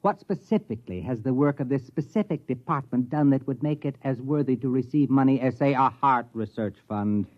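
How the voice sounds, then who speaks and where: An actor playing a brusque, sardonic, money-minded American university administrator who also narrates wryly in an old-time radio drama. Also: male voice, mid-range voice, a little fast, hardly any pauses, lightly acted. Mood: impatient, sardonic. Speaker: narrator and lead character. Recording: tinny, band-limited old radio recording.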